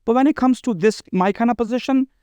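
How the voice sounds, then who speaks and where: A man's voice: extremely high pitch, quick pace, mellow, smooth, dry recording, muffled recording. A man talking casually as an interview guest, earnest and often repeating his phrases. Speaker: podcast guest, bridal expo organizer.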